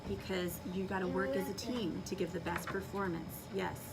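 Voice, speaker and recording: A woman teaching young children in a classroom, giving clear directions and checking understanding with short questions. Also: lowish voice, steady tone, moderate pace. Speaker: elementary school teacher. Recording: distant mic in noisy classroom.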